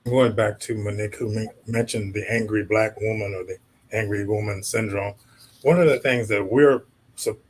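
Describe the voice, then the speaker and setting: A man's voice: lowish voice, steady tone, moderate pace, slightly rough, rough recording. A man moderating a community panel, chatting casually and directing questions to the speakers. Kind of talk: panel discussion.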